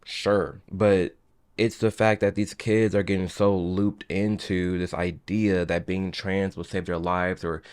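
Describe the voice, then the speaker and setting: A man with a lowish voice comments casually on clips he plays. He talks in an impassioned, emphatic way, often restarting his sentences. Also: little pitch movement, a little slow, clear, resonant tone. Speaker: commentary host.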